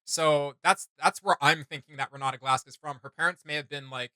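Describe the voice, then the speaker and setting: A man's voice: a little high, steady tone, a little fast, sharp tone, no echo. A man in his thirties hosting a casual reaction chat, talking in an enthusiastic, slangy way. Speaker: host of a reaction channel. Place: small home studio room, indoors.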